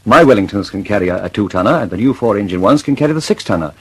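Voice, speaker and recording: An actor playing a harried, determined British aircraft engineer in a radio drama, urgent and insistent, stammering slightly when flustered. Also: male voice, lowish voice, expressive, rapid-fire, breathy edge. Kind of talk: mid-century radio drama acting.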